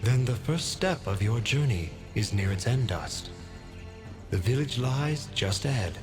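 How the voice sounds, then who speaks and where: A man's voice: mid-range voice, lively intonation, unhurried, natural voice. A man voicing the wise spirit of a talking sword in a video game, solemn and formal. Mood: solemn mentoring. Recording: music and rain behind the voice.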